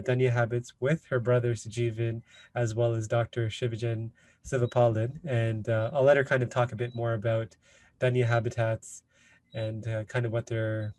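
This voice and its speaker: A man's voice: mid-range voice, a little mellow, no echo. A man hosting a podcast interview, talking casually and hesitantly with many fillers. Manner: hesitant, many fillers like "you know" and "kind of". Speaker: podcast host.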